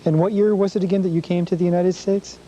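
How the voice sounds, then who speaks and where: A man's voice: high voice, fairly even intonation, quick pace, mellow, dull audio, slight background noise. A man asking an interviewee brief, plain questions about her past.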